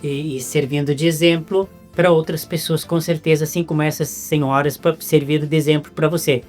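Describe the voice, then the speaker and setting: A Brazilian-accented man hosting a casual interview, halting and repeating words as he searches for them. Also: a little high, level tone, darkish tone, faint music behind. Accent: Brazilian Portuguese. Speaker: interviewer host.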